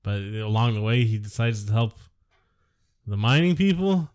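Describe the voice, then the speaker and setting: A man's voice: lowish voice, lively intonation, normal speed, a little mellow. Sarcastic man griping about a movie. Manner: sarcastic, dismissive, swears casually. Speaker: podcast co-host. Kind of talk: casual film review chat.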